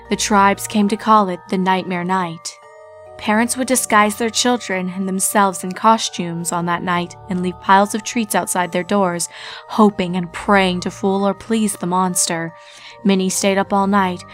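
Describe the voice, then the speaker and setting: A woman narrating a dark fanfiction story in steady, solemn, scripted storytelling. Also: mid-range voice.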